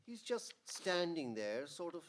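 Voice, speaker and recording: Casting: male actor as observing angel narrator, measured, higher male register, radio drama. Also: big pitch swings. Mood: calm, observing. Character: heavenly senior angel narrating to an apprentice. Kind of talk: old-time radio drama acting.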